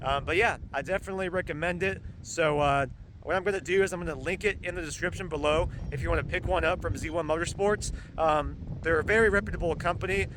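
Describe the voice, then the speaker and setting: A man reviewing a car part for his vlog, talking outdoors with a halting, rambling delivery full of ums and uhs. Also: on the high side, rough recording. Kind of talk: casual product review vlog. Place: outdoors in strong wind. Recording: loud wind noise on the mic. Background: gusting wind.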